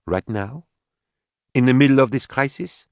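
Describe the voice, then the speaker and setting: Man voicing a firm, concerned mother, pitched up. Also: moderate pace, darkish tone, no room sound, studio quality, close to an everyday voice. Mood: incredulous. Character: concerned, skeptical mother, a woman doctor.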